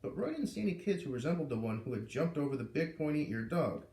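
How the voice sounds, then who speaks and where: A man reading a story aloud in an expressive, informal way, narrating like a storybook reader. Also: on the high side, fast talker. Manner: animated, informal asides. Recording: echoey room, far from the mic.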